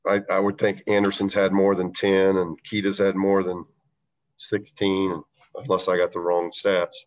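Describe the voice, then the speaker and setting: A man coaching a basketball team, answering reporters' questions after a game, measured and halting with many fillers. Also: sits low, completely flat delivery, poor audio. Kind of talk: post-game press conference answers.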